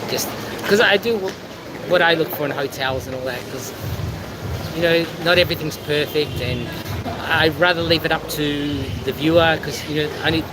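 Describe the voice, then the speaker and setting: A man with a squeaky voice, chatting casually and at length as a travel vlogger on a live stream, a bit over-caffeinated. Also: moderate pace. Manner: chatty, rambling. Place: outdoors in a busy city. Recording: loud outdoor street noise with traffic.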